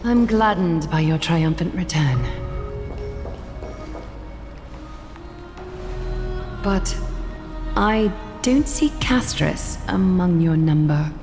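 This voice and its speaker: A stately fantasy-game ruler, solemn and composed. Her slightly low voice is formal and restrained, echoing through a great hall with music behind. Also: lively intonation, takes it slowly. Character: regal, composed woman leader of heroes, solemn. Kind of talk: scripted game cutscene acting. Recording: long hall reverb under loud music.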